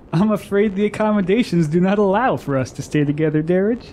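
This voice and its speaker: An actor playing a flippant, defiant pleasure-seeker in a fantasy radio drama, mocking and theatrical in delivery. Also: male voice, sits high, normal speed, warm tone, slight background noise, close to an everyday voice. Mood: breezy, teasing. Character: flippant, pleasure-seeking, defiant male traveler.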